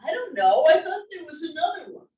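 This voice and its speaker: A woman's voice: very high-pitched, big pitch swings, a little fast, dark tone, highs cut off. A woman playing a no-nonsense old wife, dry and impatient, in a comedy table read. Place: library room, table read. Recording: echoey library room, distant mic.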